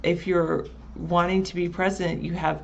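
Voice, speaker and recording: Deep-voiced woman reading the chat. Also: moderate pace, creaky, lo-fi sound, a little hiss or hum. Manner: relaxed, chatty, answers viewers by username. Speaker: chat show co-host reading viewer chat. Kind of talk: casual live chat show.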